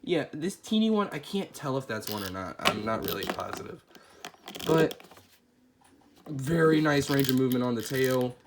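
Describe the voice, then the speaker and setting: A man's voice: mid-range voice, normal speed. A man with a stuffy nose and a voice cracking from a cold reviews a toy figure in a chatty, easygoing way, thinking aloud as he handles it. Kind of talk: casual product review. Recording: clear steady background noise.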